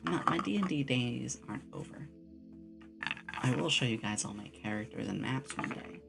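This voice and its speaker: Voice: mid-range voice, expressive, moderate pace, gravelly, music in the background. A streamer chatting casually with viewers in an ASMR stream, rambling along like a storyteller. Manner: rambling storyteller, acts out short quotes. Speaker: streamer, VTuber host.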